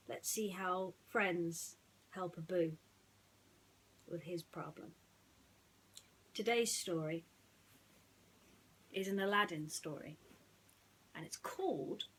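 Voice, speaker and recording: A woman speaking in an earnest, slightly halting way, as a storyteller chatting and reading to children. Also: on the low side, a bit bright, a little hiss or hum, rough recording. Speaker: storyteller reading bedtime stories.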